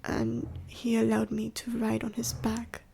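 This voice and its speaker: A woman playing an ancient, dying female dragon in an ASMR roleplay, telling a sorrowful life story slowly and haltingly in a breathy, raspy whisper. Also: a little high, completely flat delivery, mellow, heavily acted. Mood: somber, reminiscing. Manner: halting, slow, solemn storytelling. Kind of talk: ASMR audio roleplay monologue to the listener.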